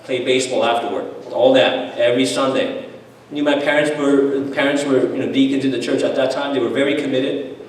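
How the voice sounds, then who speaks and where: A man's voice: medium pitch, fairly even intonation, fairly quick. A man giving a personal testimony at a church seminar, telling stories animatedly with frequent restarts and fillers. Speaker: seminar speaker. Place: church hall. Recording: echoey hall, far from the mic.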